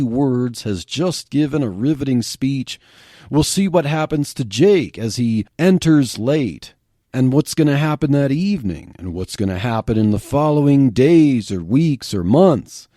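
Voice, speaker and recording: Slow, expressive male podcast storyteller. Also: medium pitch, no room sound, clean studio sound. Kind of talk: reading a story aloud.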